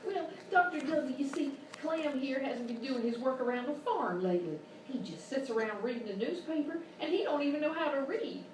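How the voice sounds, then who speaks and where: A woman's voice: sits high, animated, brisk, few pauses, crowd noise. A woman playing a cranky, gullible country farm wife in live sketch comedy. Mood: complaining. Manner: folksy, complaining, exaggerated. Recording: roomy, distant, noisy live stage recording.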